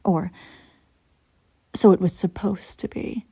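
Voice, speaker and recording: A woman playing an imperious evil empress in an audio roleplay, coolly seductive and menacing, with theatrical, deliberate phrasing. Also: lowish voice, steady tone, vocal fry, dark tone, husky, dry recording, in a whisper, full character voice. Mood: taunting, intimate. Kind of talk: scripted audio roleplay acting.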